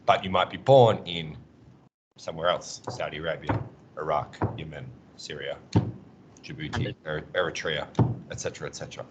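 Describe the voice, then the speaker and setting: A man teaching an online class, giving encouraging feedback on a student presentation with many pauses and ums over a video call. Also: extremely low pitch, dull audio, very noisy.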